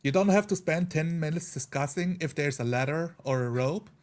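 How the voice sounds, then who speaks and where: A man's voice: on the high side, normal speed, crowd noise. A man chatting casually as a tabletop streamer, explaining things in an earnest way. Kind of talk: casual chat.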